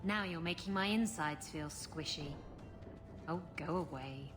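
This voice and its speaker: A woman's voice: low voice, a little slow, over music. A woman playing a brash, flirtatious pirate captain in a video game, saucy and playful. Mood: teasing. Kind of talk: video game cutscene acting.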